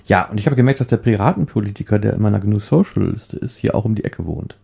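A man chatting casually in a rambling, halting way, often repeating words as he searches for the next one. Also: on the low side, expressive, vocal fry, warm tone, breathy edge.

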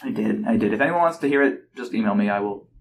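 A man hosting a podcast in casual conversation, talking in an animated, teasing way and laughing readily. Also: fairly low pitch, fast talker, creaky. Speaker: podcast host. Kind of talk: casual chat. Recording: noisy conversational recording with background ambience.

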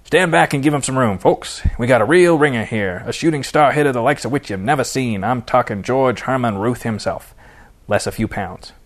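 A man's voice: fairly low pitch, fairly quick, lightly acted. A man voicing an angry, sarcastic and stubborn baseball team manager in an audiobook, mocking as he barks orders. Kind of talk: audiobook character dialogue.

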